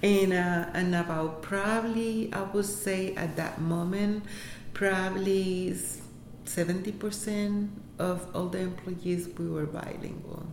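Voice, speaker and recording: A woman from Puerto Rico whose first language is Spanish speaks English with a Puerto Rican accent, answering interview questions in a halting way with many fillers and laughs. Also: fairly low pitch, fairly even intonation. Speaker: interviewee, health center employee. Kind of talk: casual interview. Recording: echoey room, faint background noise.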